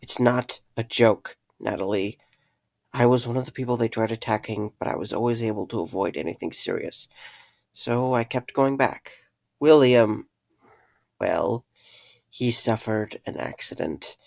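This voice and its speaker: A man acting an earnest, guilt-ridden man in an audio drama, with halting, serious delivery. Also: medium pitch, vocal fry, a little mellow, dry recording. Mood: urgent, earnest. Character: earnest, guilt-ridden man confessing dark secrets to a friend.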